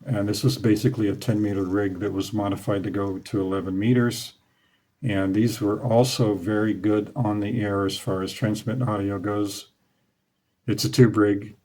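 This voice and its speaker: Low-voiced man explaining old radios. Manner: relaxed, knowledgeable, with frequent "uh" fillers. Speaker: radio hobby host. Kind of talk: casual talk over slides.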